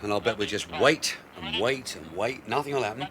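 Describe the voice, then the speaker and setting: An actor playing a shrewd veteran police sergeant, dry, weary and matter-of-fact, in a radio drama. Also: male voice, lowish voice, animated, brisk, crowd noise, close to an everyday voice. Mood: brisk, grumbling. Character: shrewd veteran male detective sergeant, wry and grumbling.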